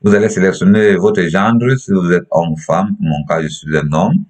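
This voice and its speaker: A young Cameroonian man giving a step-by-step screen tutorial in a halting way, often repeating himself. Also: low-pitched, darkish tone. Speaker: tutorial presenter.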